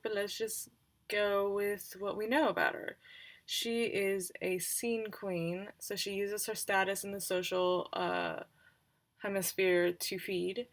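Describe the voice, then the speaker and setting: A woman game master talking casually and haltingly, thinking aloud as she recaps roleplaying characters on a livestream. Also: mid-range voice, gravelly, fairly bright tone.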